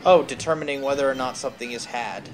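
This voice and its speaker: A man's voice: mid-range voice, steady tone, fast talker, slight background noise. A man chatting casually as host of a gaming stream, rambling and digressive with bookish word choices. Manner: rambling, digressive, uses academic words.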